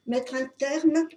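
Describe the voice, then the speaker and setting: A woman with a cold giving an unscripted tarot reading, talking in a halting way with many fillers and restarts. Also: sits high, background noise. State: has a cold. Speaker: tarot reader.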